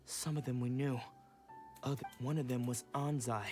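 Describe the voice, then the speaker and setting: An actor playing a polite, worried young sword disciple in a game, earnest and courteous. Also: male voice, mid-range voice, steady tone, moderate pace, a little mellow, faint music behind, close to an everyday voice. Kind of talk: dramatic game dialogue.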